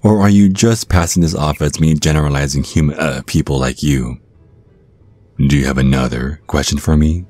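A man's voice: very deep voice, normal speed, darkish tone. A man voicing a suave, theatrical vampire stage magician, teasing and pompous, in an ASMR roleplay. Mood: smug, flirtatious.

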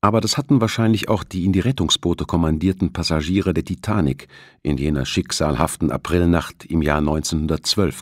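A man with a low-pitched voice reads a scripted thriller audiobook. His delivery is measured and dramatic, in a dry, close sound. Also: dry recording. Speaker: audiobook narrator.

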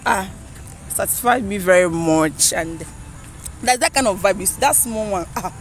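A woman's voice: mid-range voice, expressive, a little slow, soft background music. A woman in her twenties with a Nigerian accent, chatting candidly as a guest in a street interview. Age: 20s. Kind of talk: candid casual chat. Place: outdoors on a park path.

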